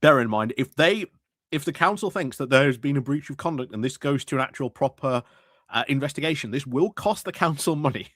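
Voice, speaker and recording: A man's voice: mid-range voice, animated, brisk, a bit bright, no room sound, studio quality. A man giving casual commentary on meeting clips, hesitant, with many fillers and restarts. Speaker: political commentary streamer.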